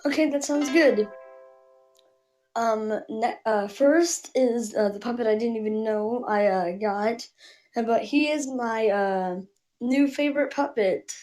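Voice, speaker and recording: Halting higher-pitched woman over plucked music. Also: a little mellow. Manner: halting, searching for words. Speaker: puppeteer guest on the show. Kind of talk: casual show-and-tell. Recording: music behind the voice.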